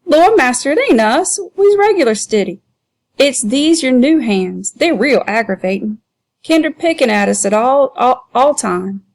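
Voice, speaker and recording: A boisterous grown man who teases everyone in a drawling, mocking voice, as a woman reading the story puts him on. He talks noticeably higher and louder than the narration around him. His manner is broad and cheeky throughout. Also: animated, unhurried. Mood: wheedling. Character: boisterous teasing grown man, a loud joker.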